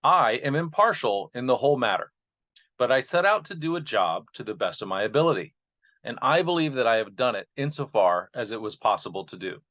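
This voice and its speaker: Man, host and narrator, earnest delivery, medium pitch, addressing listeners. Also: expressive, fairly quick, fairly bright tone. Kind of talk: informal introduction, then reading a speech aloud.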